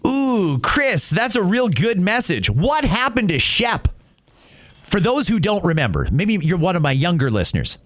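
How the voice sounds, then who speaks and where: A man's voice: high-pitched, sing-song, normal speed, a bit bright. A middle-aged man hosting an opinionated talk show in an emphatic, conversational way. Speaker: podcast host. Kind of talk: opinionated talk radio commentary.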